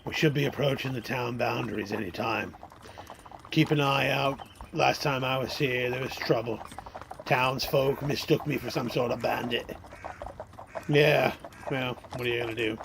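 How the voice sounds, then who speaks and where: A man's voice: mid-range voice, steady tone, normal speed, vocal fry, background noise, close to an everyday voice. Man acting a folksy fantasy cart driver. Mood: reassuring, wary. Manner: folksy, old-time phrasing, instructing. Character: gruff experienced male cart driver guiding a younger companion. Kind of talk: fantasy radio drama acting.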